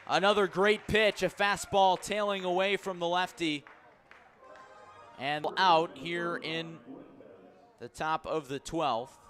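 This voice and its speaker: Higher-pitched male baseball play-by-play announcer. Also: soft background music, a faint crowd. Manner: measured narration rising to excited shouts on key plays. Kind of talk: live sports commentary.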